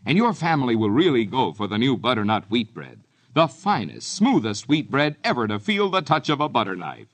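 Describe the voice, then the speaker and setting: American guy doing vintage radio ad pitch, super lively intonation, eager and selling hard. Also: fairly quick. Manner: enthusiastic, persuasive. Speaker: commercial announcer. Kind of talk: old-time radio ad read.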